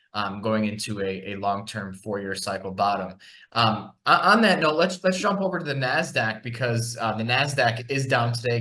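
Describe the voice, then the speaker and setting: A man's voice: medium pitch, bright, forceful tone. A man hosting a market podcast, talking casually through charts on a shared screen, with frequent fillers and restarts. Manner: conversational, many fillers and restarts. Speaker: podcast host and market analyst.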